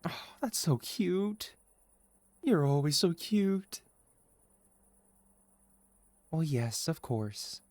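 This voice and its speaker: A man playing a sweet, caring, gently teasing boyfriend in a soft, reassuring ASMR roleplay. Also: on the high side, sing-song, takes it slowly, no room sound. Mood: affectionate, playful. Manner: soft, tender, reassuring, playful. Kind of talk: ASMR boyfriend roleplay, intimate scripted monologue.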